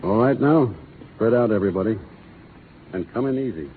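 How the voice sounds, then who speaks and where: An actor playing a low-voiced cowboy foreman. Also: fairly quick, close to an everyday voice. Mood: commanding. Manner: calm, clipped commands; later grieving. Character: the Virginian, a stern, steady cowboy foreman. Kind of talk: 1930s radio acting. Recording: tinny 1930s radio broadcast, faint hiss.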